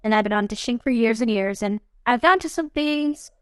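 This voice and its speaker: A woman's voice: on the high side, fairly quick, clear, resonant tone, no echo, clean studio sound. A young adult woman, an actress being interviewed, talking casually and animatedly, often saying "you know what I mean". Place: studio podcast set.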